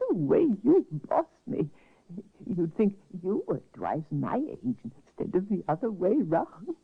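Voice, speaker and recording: A woman's voice: mid-range voice, sing-song, slow, slightly rough, exaggerated voice. An actress in a 1950s radio drama playing an elderly, chuckling old mother with a sweet tooth, her voice heard through a tinny old broadcast. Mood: doddering. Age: older.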